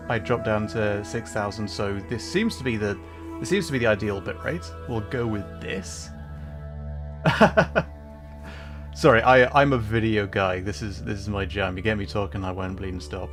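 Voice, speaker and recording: A man's voice: lowish voice, animated, normal speed. A man streaming a game, chatting casually, rambling and apologetic about technical setup. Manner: rambling, self-deprecating, apologetic. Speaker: VTuber streamer. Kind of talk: casual livestream chat. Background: background music.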